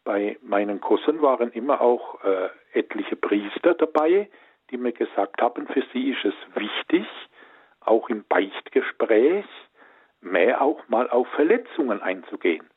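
A man counseling listeners, his voice slightly husky and dry. He reassures and stresses key points gently. He comes through a narrow, phone-like line. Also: mid-range voice, lively intonation, unhurried, dry recording. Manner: warm, reassuring, gently emphatic. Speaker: pastoral counselor, studio guest expert. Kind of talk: advice on a call-in show.

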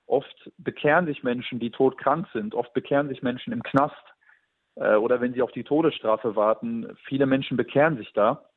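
A man in his twenties shares his personal testimony in an earnest, thoughtful way, pausing with small fillers as he searches for words. His voice is a little husky, and the close, dry sound has a tinny, phone-like narrowness. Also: medium pitch, no room sound. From Germany. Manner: earnest and reflective, with frequent hesitations.

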